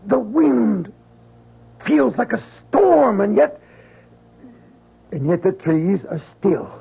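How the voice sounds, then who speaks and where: An actor playing a fervent, haunted Southern soldier in an old-time radio drama, speaking in a halting, earnest way with a husky voice. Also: male voice, high voice, big pitch swings, exaggerated voice. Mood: eerie unease. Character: fervent Southern soldier, haunted man sure of his miracle. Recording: dull lo-fi old radio recording.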